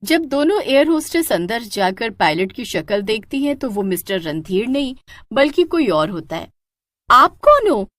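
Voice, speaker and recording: A woman's voice: on the high side, very animated, normal speed, no echo. A woman narrating a scripted cartoon horror story.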